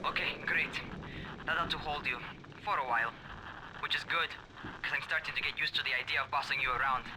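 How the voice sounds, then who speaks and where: Casting: bossy young game survivor, higher male voice, teasing, radio call with clear noise. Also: steady tone, a little fast. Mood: relaxed, teasing. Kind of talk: video game dialogue acting.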